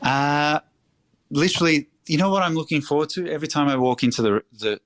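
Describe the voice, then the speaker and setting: A middle-aged Australian restaurateur talking in a casual interview, passionate and emphatic, swearing freely. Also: male voice, a little high, a little fast, no echo. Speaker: restaurateur guest.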